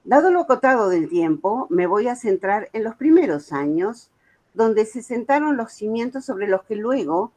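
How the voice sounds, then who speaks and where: A woman's voice: mid-range voice, a little mellow, poor audio. A woman in her seventies with a Buenos Aires accent gives a prepared talk as a guest speaker, telling her story earnestly with informal asides. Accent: Argentine, Buenos Aires. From Argentina. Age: 70s. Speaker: guest speaker, author and music educator.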